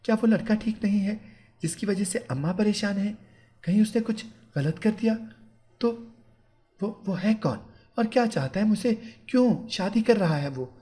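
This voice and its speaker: A man's voice: sits high, lively intonation, normal speed, dark tone, voice pushed up. A man voicing a bitter, furious young bride in an audio story. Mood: anxious. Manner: emotional, accusing.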